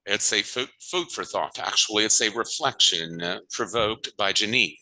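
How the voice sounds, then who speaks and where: A man interpreting a conference panel talk, halting often and restating phrases as he goes. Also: lowish voice, sharp tone, low-quality recording.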